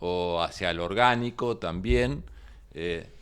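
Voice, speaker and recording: Low, smooth-voiced Argentine male interviewer. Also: slow, fairly bright tone. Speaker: interviewer, host. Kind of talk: conversational interviewing.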